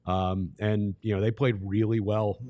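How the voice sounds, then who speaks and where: A man who works as a play-by-play broadcaster, talking as a guest analyst in a measured, explanatory, conversational way. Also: on the low side, little pitch movement, warm tone.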